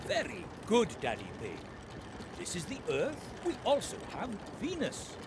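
An actor playing an excitable, welcoming rabbit space tour guide in a cartoon game, with theatrical delivery. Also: male voice, high-pitched, unhurried, background noise, heavily acted. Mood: cheerful, explaining. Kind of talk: cartoon game character acting.

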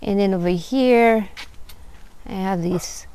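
A woman gives an unhurried, admiring tour of her backyard garden, filming on a handheld phone outdoors. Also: mid-range voice, dark tone, clean tone. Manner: unhurried, admiring, many fillers. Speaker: gardener vlogger. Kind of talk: casual garden tour narration.

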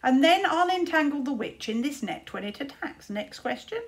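A woman's voice: sits high, very animated, moderate pace, voice pushed up. A woman voicing a boastful, stubborn young boy wizard in a storybook, emphatic and proud. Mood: eager, proud.

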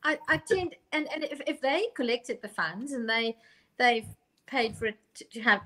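Higher-voiced South African woman, hesitant. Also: normal speed, sharp tone. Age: middle-aged. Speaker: panellist. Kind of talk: casual discussion. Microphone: video call microphone.

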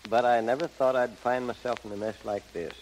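A man in his seventies speaking plainly as a guest on a noisy vintage 1930s radio broadcast. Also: mid-range voice. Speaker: guest, gold prospector.

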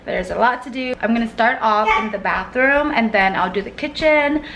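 A woman's voice: fairly high pitch, normal speed. A young woman chatting casually and informally to camera in a slangy vlog style, recorded at arm's length. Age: young adult. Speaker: vlogger and mother. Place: small rooms at home and inside a car. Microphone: camera held at arm's length. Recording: clear background noise, car hum.

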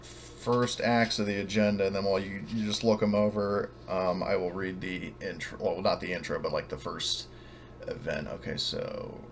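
A man with a fairly low, creaky voice acts as game master in a casual online session. He talks haltingly and hesitantly, with faint noise behind him. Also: flat delivery. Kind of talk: casual tabletop game hosting.

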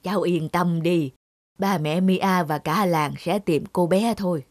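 A woman playing a kindly old village grandmother in a children's cartoon, gentle and reassuring. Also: on the low side, a little slow, no room sound, clean studio sound. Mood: calm, reassuring.

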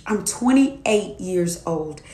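A woman's voice: lowish voice, expressive, drawn-out words, warm tone, echoey room. A woman in her twenties, a minister and life coach, preaching her testimony to viewers in an emphatic, repetitive cadence. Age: 20s.

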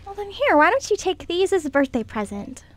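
A woman's voice: extremely high pitch, big pitch swings, a little fast, voice pushed up. A woman voicing a kind lady charmed by a child in an amateur radio skit, sweet and indulgent. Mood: kindly.

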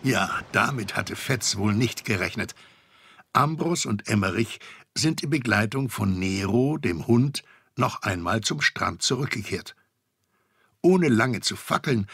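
A man's voice: lowish voice, animated, unhurried, vocal fry, a bit bright, breathy edge, no echo, studio quality, full character voice. A man narrating a children's adventure radio play as the storyteller. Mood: storytelling.

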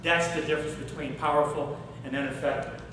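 A middle-aged man giving a workshop talk on public speaking, animated and asking the audience questions in a hotel conference room. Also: a little high, fairly quick, a little hiss or hum. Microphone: far camera, no mic seen. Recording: echoey room recorded from far off.

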